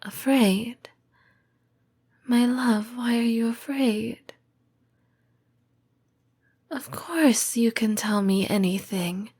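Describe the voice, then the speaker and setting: A woman voicing a tender, lonely lamia girlfriend in a soft, breathy, whispery ASMR roleplay, speaking hesitantly and intimately with long pauses. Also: a little high, full character voice. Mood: tender, reassuring.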